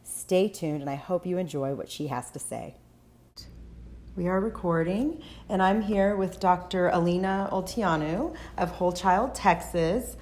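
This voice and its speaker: Woman host, a bit low-pitched. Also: unhurried, a little mellow. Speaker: host and health coach. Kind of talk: podcast intro and interview.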